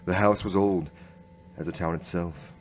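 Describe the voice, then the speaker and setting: Guy doing a deadpan noir inspector, really low voice, weary narration, noisy background. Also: moderate pace, natural voice. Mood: brooding. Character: world-weary, wry, deadpan male noir police inspector. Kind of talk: scripted noir radio drama narration.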